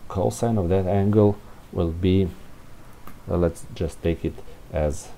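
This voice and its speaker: Low, mellow, slow-talking man thinking aloud. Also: vocal fry. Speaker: presenter of a programming tutorial. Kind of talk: informal unscripted screencast walkthrough of code. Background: room heater hiss.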